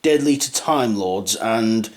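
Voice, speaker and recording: A man's voice: lowish voice, slow pace. A man giving an unscripted fan review in a rambling, hesitant way, often trailing off and starting again. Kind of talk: unscripted audio drama review.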